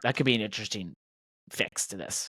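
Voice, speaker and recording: A man's voice: lowish voice, a little fast, bright, forceful tone, dry recording. An American man chatting casually as a motorsport podcast co-host, speaking in an emphatic, opinionated way. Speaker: podcast co-host and professional racing driver.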